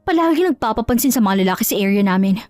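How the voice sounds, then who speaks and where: A woman's voice: mid-range voice, lively intonation, rapid-fire, a little mellow, no room sound, close to an everyday voice. A woman voicing a catty, scheming young mistress. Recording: dry, faint music underneath.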